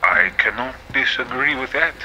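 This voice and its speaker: A man's voice: mid-range voice, expressive, normal speed, sharp tone, slight background noise, low-quality recording. A man playing a proud, defiant inventor under oath in a courtroom audio drama, speaking formally and precisely with indignation. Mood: proud, curt.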